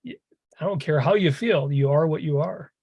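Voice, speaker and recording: A man's voice: a little high, moderate pace, darkish tone, slightly rough, muffled recording. A man in his fifties making his case in a casual panel discussion, emphatic and arguing point by point. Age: 50s.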